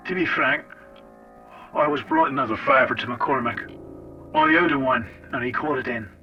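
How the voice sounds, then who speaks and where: A man's voice: medium pitch, huge pitch swings, normal speed, gravelly, far from the mic. A man playing a wry, foul-mouthed intelligence agent, telling his story in a sardonic, conversational way in an audio drama. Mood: frank, familiar. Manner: sardonic, conversational, addresses the listener directly. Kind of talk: first-person audio drama narration and dialogue. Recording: dull lo-fi old-radio sound under music.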